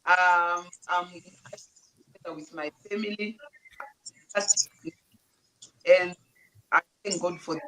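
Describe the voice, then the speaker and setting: A woman from Africa speaks in a slow, hesitant way. Her voice is bright and sharp-edged, with faint noise behind it on a distant video call. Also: mid-range voice. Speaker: guest on live stream.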